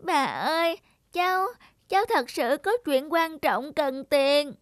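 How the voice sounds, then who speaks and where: A woman's voice: high-pitched, lively intonation, takes it slowly, no echo, clean studio sound. A woman voicing a kind-hearted, earnest and polite little girl in a cartoon. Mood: sad, pleading. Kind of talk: cartoon voice acting.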